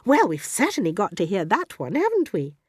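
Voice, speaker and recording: Woman acting a storybook schoolmistress, female, gentle, encouraging, prim, lifted lilting voice. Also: mid-range voice, wildly swooping pitch, fairly quick, slightly rough, dry recording, studio quality, voice pushed up. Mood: curious, insistent. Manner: kindly, prim, attentive.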